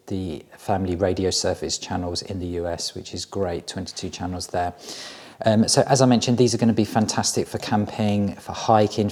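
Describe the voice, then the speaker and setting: Deep, mellow-voiced man reviewing a product. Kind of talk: informal product review, unscripted demonstration.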